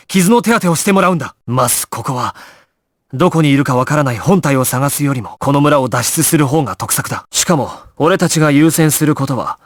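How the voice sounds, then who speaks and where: A man's voice: fairly high pitch, no echo, clean studio sound, lightly acted. A male actor playing a resolute, composed young gangster squad leader in an anime video game, terse and authoritative in his clipped orders. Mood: firm, commanding. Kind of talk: Japanese anime game voice acting, dramatic.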